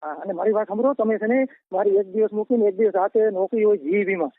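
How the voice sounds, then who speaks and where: A man's voice: sits high, fast talker, dry recording. A man with a Saurashtra Gujarati accent in a private phone conversation, insistently repeating his instructions as the caller. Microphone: telephone. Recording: muffled lo-fi phone call recording.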